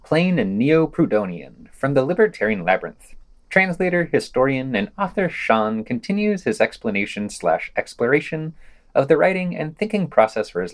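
Animated man reading podcast news, mid-pitched. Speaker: podcast host. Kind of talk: scripted news-and-opinion reading with wry commentary.

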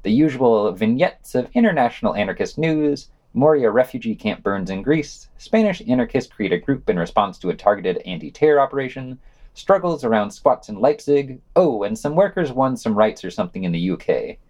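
A man's voice: medium pitch, big pitch swings, brisk, a little mellow. A man co-hosting a podcast, reading a scripted news round-up in a wry, sardonic way. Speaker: podcast co-host.

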